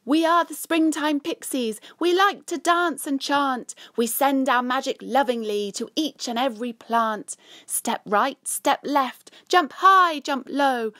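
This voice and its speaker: Springtime pixie for a children's tale played by a female reader. Bright-spirited, high, bouncy, chanting in rhythm. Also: slow, few pauses, dry recording, clean studio sound, exaggerated voice. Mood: joyful chanting. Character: lively, loving springtime pixies chanting and dancing.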